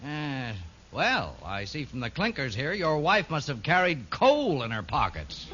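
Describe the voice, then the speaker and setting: Suspicious insurance man, bright sharp voice, lively pitch, dry and formal, old radio comedy. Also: unhurried, exaggerated voice. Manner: crisp, formal, dryly sarcastic. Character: suspicious, dry, businesslike male insurance investigator. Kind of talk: 1950s radio situation comedy acting. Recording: muffled, faintly noisy 1950s radio recording.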